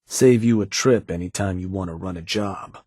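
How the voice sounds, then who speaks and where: Casting: a laid-back raider gang fixer for a game, low voice, dry and matter-of-fact. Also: male voice, normal speed, a little mellow, husky, no echo. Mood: businesslike. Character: laid-back male raider fixer serving his boss. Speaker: gang fixer taking orders. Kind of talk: video game dialogue.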